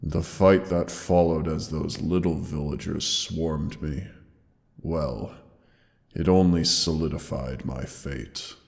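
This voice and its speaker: Guy doing a huge old dragon, super deep gravelly voice, hurt and dying, soft and loving, lots of reverb. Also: fairly even intonation, full character voice. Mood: tender, pained. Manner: tender, slow, weary. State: wounded, pained. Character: dying ancient male dragon, gentle and loving. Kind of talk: audio roleplay drama.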